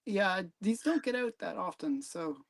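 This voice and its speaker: A man's voice: high-pitched, lively intonation, measured pace, vocal fry, a bit bright. A man hosting a casual livestream chat, talking hesitantly with frequent ums and trailing sentences. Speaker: comic collector host.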